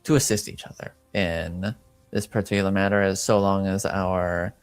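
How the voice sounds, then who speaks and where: Man acting as measured male fantasy game character, formal, hesitant, lowish smooth voice. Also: little pitch movement, no room sound, close to an everyday voice. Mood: guarded, formal.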